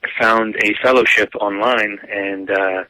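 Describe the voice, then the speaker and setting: A man, answering interview questions in a relaxed way, full of "um" and "like" fillers. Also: fairly low pitch, unhurried, no echo, poor audio. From United States (grew up). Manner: easygoing, lots of "um" and "like" fillers. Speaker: guest.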